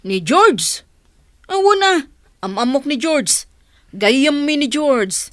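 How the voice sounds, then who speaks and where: A woman's voice: high-pitched, lively intonation, slow, no room sound. A woman playing a cheerful young woman visiting friends in a radio comedy drama, chatty and teasing.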